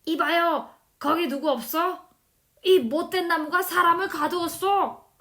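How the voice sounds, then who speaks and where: A woman voicing a greedy, blustering man in a children's storybook, with loud, exclamatory delivery. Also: very high-pitched, unhurried, voice pushed up, exaggerated voice. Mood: panicked, shouting. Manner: loud, exclamatory, wailing pleas. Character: greedy, blustering man who panics and begs. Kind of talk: children's storybook character acting.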